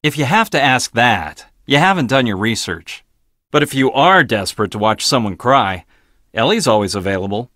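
An actor playing an arrogant, blunt, logical research scientist in a video game, with a clipped, dismissive, pedantic delivery. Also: male voice, medium pitch, very animated, normal speed, sharp tone, dry recording, studio quality. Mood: dry, matter-of-fact.

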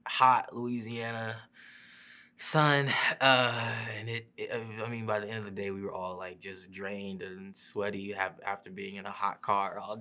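A young man, an actor, answering interview questions casually with fillers and restarts, seated in a studio. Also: on the low side. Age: young adult. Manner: relaxed, many fillers and restarts. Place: studio seat before a printed backdrop.

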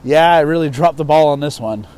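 A man's voice: a little high, a little fast, darkish tone. A middle-aged man talking casually as the host of an outdoor vlog. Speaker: video host. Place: outdoors on open gravel ground near an overpass. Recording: outdoor noise, wind or traffic.

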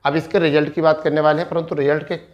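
A man's voice: a little high, level tone, fast, muffled recording. A man, explaining informally like a coaching teacher, repeating points and checking in often. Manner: repetitive, explanatory, with frequent check-in tags. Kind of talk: informal instructional explanation at a board. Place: indoor studio in front of a digital board. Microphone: clip-on lapel mic.

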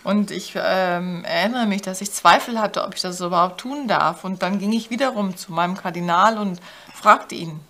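An older woman interpreting a talk in a studio, halting, with many fillers and self-corrections. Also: mid-range voice, pushed and bright. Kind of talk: consecutive interpreting. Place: studio with backdrop.

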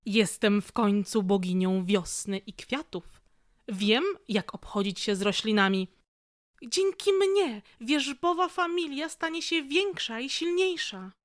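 A woman's voice: on the high side, lively intonation, unhurried, dry recording, clean studio sound. A woman playing a kindly, eager stone goddess of spring and flowers in a radio play. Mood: eager, helpful.

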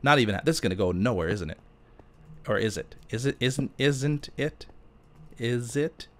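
A man's voice: medium pitch, animated, moderate pace. A man giving casual gameplay commentary, thinking aloud as he chats and answers questions. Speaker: gaming YouTuber.

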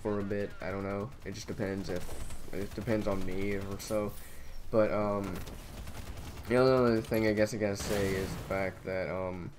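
Monotone young man rambling over gameplay. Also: lowish voice, normal speed, background noise. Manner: rambling, heavy on fillers. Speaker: gaming channel host. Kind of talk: casual gameplay commentary.

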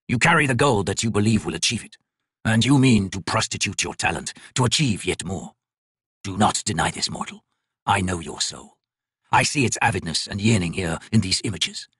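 A man's voice: lowish voice, lively intonation, a bit bright, slightly rough, no echo, studio quality. A man voicing a mocking, cruel ancient god. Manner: slow, grave, sneering.

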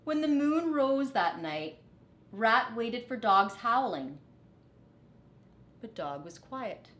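Expressive, unhurried woman reading a storybook. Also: fairly high pitch. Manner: teacherly, dramatic phrasing. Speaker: storybook reader. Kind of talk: expressive children's read-aloud. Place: living room, on a couch. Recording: echoey living room.

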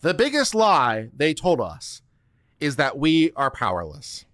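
A man's voice: fairly high pitch, huge pitch swings, a little slow, a bit bright, no room sound. A middle-aged man reading a text aloud in a dramatic, emphatic, declamatory manner into a close desk microphone. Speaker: host of a legal commentary livestream. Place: home studio room, close and dry. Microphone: desk microphone close to the mouth.

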